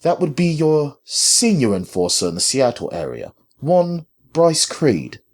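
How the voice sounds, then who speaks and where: A man voicing a polite, authoritative British mistress in a formal, measured manner for a story. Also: on the high side, big pitch swings, pitched above the performer's own voice, heavily acted. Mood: polite, direct.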